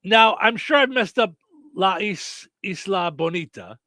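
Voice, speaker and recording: Bright, lively high-voiced man host. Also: unhurried, no echo, clean studio sound. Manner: lively, playful, lots of affirming murmurs. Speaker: radio host reading listener comments. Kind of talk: casual chat.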